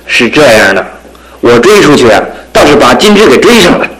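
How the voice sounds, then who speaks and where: An actor playing an earnest young suitor in a radio drama, coaxing and sometimes hesitant. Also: male voice, medium pitch, sing-song, fairly quick, fairly bright tone, low-quality recording. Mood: recounting. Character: earnest, pleading young suitor, gently self-satisfied.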